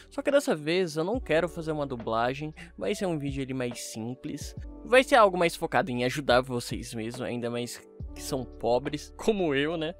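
A man with a Brazilian accent giving a casual, chatty voice-over review to his online viewers. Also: mid-range voice. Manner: informal, chatty. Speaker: YouTube creator and fan dubber. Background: music.

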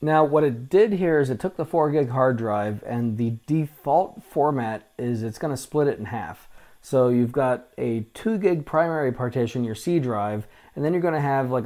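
A man in his forties hosting a vintage computer channel, talking casually through a hands-on restore at a desk in a small room, wearing a lapel mic, with lots of "uh" fillers. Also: mid-range voice. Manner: relaxed, chatty, frequent "uh" fillers. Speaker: vintage tech channel host. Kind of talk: casual hands-on tech walkthrough.

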